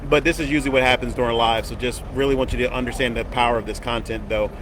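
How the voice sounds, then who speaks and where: An upbeat, folksy man hosts a casual livestream outside a hotel, speaking at a middle pitch into a phone. Heavy traffic noise roars around him on the street. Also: steady tone, fast. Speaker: host. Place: outdoors by a hotel on a busy street. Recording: loud outdoor traffic noise.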